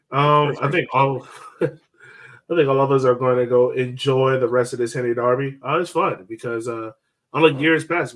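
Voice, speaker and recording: A man hosting a sports podcast in an informal, conversational style, thinking aloud as he goes. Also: medium pitch. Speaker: soccer podcast host. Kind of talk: casual sports chat.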